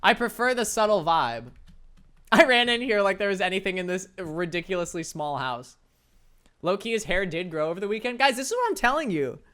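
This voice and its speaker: Young man, light high voice, playful and self-mocking, laughs often, casual stream chat. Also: expressive, brisk, bright, forceful tone. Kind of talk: casual chat reading viewer messages while gaming.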